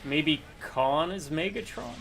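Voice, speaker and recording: A man's voice: a little high, expressive, takes it slowly, vocal fry, a bit bright, a little hiss or hum. Chatty man joking over co-op gameplay. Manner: chatty, joking, thinks aloud with fillers. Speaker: co-op game player. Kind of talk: casual gameplay chat.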